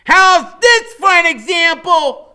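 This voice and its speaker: A man voicing a fierce, small boy who shouts commands like a judge in a storybook reading. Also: animated, takes it slowly, full character voice. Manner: booming, commanding.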